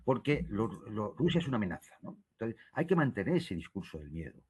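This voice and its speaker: A man's voice: lowish voice, sing-song, rapid-fire, darkish tone. A man talking in a spontaneous way in a live political discussion.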